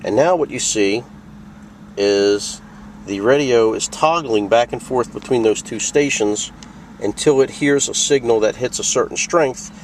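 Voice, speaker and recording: Lower-voiced man giving a casual tutorial. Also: slight background noise, low-quality recording. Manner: plain-spoken, conversational explaining. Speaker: presenter of a product tutorial. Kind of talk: casual instructional walkthrough.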